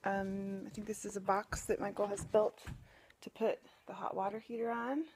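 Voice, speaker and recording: A woman's voice: medium pitch, level tone, moderate pace, a little mellow, slight background noise. A young woman filming herself on a phone at arm's length, chatting casually about building her house and thinking aloud with fillers. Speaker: family vlogger. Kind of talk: casual home-building vlog. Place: house under construction and a back porch. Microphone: phone held at arm's length.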